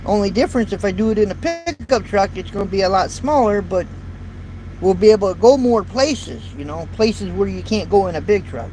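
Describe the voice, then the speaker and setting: A man's voice: high-pitched, animated, fairly quick, a little mellow, rough recording. A man in his fifties, a truck driver, chatting casually to viewers from his parked truck cab in a folksy, rambling way. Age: 50s. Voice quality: light voice. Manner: rambling, folksy, repeats words. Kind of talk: casual live chat to viewers. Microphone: built-in phone or camera mic. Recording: faint road and traffic noise.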